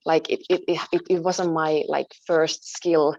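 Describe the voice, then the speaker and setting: A woman from Finland, a native Finnish speaker, being interviewed about her work and talking thoughtfully, pausing and searching for words. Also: deep voice, steady tone, creaky, darkish tone, no echo, lo-fi sound. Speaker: interview guest, photojournalist. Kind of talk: online interview.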